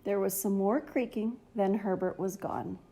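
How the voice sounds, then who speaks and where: A woman's voice: mid-range voice, fairly even intonation, normal speed, dark tone, husky. A woman reading a children's story aloud in an enthusiastic, dramatic narrating style. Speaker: storybook reader.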